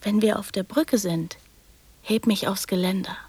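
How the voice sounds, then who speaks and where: A woman's voice: mid-range voice, steady tone, unhurried, a little mellow, husky, no echo. An actress playing a young girl in a radio drama, prattling and teasing in childlike fragments that often drop to whispers. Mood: childlike, eager.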